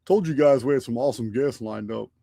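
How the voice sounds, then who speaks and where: A man's voice: mid-range voice, fast, creaky, dark tone, no room sound, clean studio sound. A man hosting a live online show, chatting casually and often restarting his sentences. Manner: rambling, repeats and restarts phrases. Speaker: podcast host. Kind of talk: casual live-stream chat. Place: home studio.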